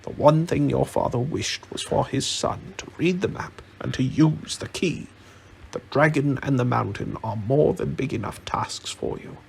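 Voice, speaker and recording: A man playing a grave, proud old wizard who speaks in a slow, admonishing way for a storybook. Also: mid-range voice, vocal fry, a little mellow, a little hiss or hum, rough recording. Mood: stern.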